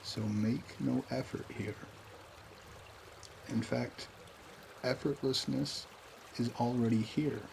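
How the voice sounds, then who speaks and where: A man leading a guided meditation in a calm, unhurried way, with pauses between short phrases. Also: mid-range voice, vocal fry, noisy background.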